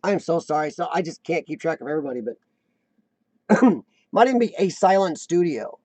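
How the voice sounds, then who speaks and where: A man's voice: a little high, sing-song, a little fast, dark tone, no room sound. A man hosting a casual live-stream chat, rambling and self-deprecating, often restarting his sentences. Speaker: host of a live chat show.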